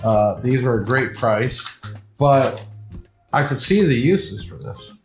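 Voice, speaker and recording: An echoey room surrounds a man with a medium-pitched voice as music plays softly behind him. He presents products like a shop owner on camera, chatty and rambling. His delivery is informal, with an enthusiastic salesman's patter. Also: expressive, takes it slowly. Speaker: video host and shop seller presenting products. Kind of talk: casual unboxing review, talking to camera.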